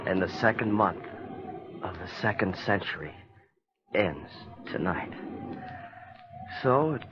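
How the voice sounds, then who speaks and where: An actor playing an earnest, haunted young man in 1940s radio drama style. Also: lowish voice. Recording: dull 1940s radio recording.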